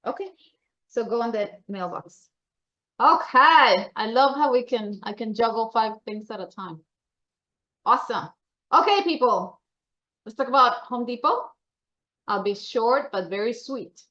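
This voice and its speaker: A woman's voice: on the high side, lively intonation, a little slow, pauses often, fairly bright tone, lo-fi sound. A woman with a Colombian accent giving informal, chatty, upbeat announcements to members at a meeting. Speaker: membership association staffer presenting member announcements.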